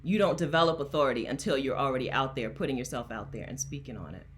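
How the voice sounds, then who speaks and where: A woman's voice: very deep voice, fast, faint music behind. A woman from Delaware, a coach hosting a casual livestream and talking to her chat in an emphatic, chatty way, laughing often. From Delaware, USA. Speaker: coach and host.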